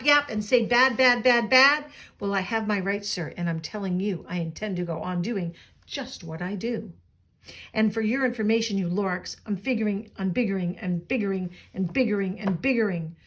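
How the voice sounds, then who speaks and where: A woman voicing a stubborn, greedy storybook businessman, loud and defiant, hammering on repeated words. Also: mid-range voice, lightly acted. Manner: loud, defiant, emphatic repetition. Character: stubborn, angry, greedy businessman bent on growing bigger.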